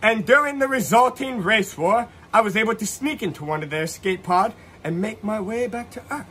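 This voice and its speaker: A man's voice: high-pitched, animated, moderate pace, pushed and bright, a little hiss or hum. A man playing an earnest oddball who tells of alien encounters in a mock-serious, theatrical comedy monologue. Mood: dramatic storytelling.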